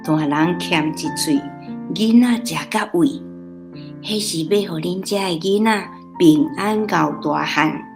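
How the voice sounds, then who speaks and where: A woman's voice: on the low side, a little slow, creaky, music in the background. A woman acting a kindly, patient old grandmother in a radio drama, speaking Taiwanese Hokkien-accented speech. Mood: modest.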